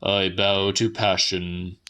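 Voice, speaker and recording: A man's voice: deep voice, flat delivery, slow, pushed and bright, smooth, full character voice. A man voicing a solemn, pompous old man in a dramatic audiobook, ponderous, formal and insistent. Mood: resigned.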